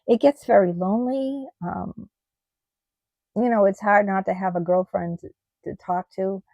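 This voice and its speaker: A middle-aged woman from the United States talking in a personal vlog, halting with many ums and restarts, her voice tired and starting to go. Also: mid-range voice, warm tone, no echo, lo-fi sound. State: losing her voice. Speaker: vlogger.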